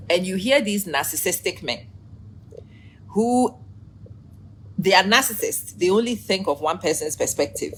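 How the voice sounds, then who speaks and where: A woman in her forties hosting a casual live stream, chatting, laughing often and greeting viewers by name, with her energy running low. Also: slight background noise, low-quality recording.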